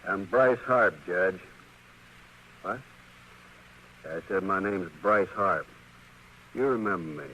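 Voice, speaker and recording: A man's voice: low voice, takes it slowly, pauses often, sharp tone, full character voice. A man playing a bitter, menacing ex-convict in a radio western, speaking in a hard, insistent, threatening way. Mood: accusing. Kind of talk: 1950s radio western acting. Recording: dull, noisy old radio recording.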